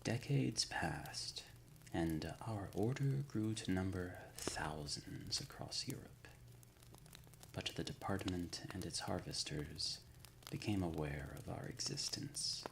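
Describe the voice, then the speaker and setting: A man playing an ancient, solemn undead leader of a secret order in an ASMR roleplay, speaking in a breathy, gravelly whisper with formal, archaic diction. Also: low voice, slow pace, noisy background, full character voice. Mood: grave recollection.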